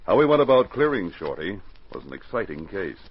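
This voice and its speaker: A man playing a steadfast police sergeant in a 1940s radio drama, firm, commanding and clearly spoken. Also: low voice, very animated, brisk, heavily acted. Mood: measured. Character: steadfast male police sergeant of the frozen Yukon. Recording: dull 1940s radio recording, noisy.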